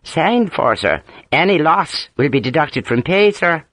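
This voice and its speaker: A male narrator voicing a wry army sergeant with an Ulster accent, deadpan and dryly amused. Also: a little high, a little slow, dry recording, voice pushed up, exaggerated voice.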